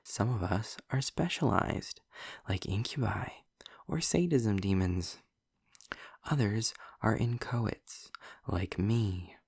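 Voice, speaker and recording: A man's voice: takes it slowly, darkish tone, dry recording, studio quality, heavily acted. A man playing a snarky, impatient male demon in an audio roleplay, speaking to the listener with theatrical, sardonic condescension. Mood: exasperated, sardonic. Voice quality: low, gravelly, husky with breathy drops. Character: snarky, impatient male demon trapped in his own hell.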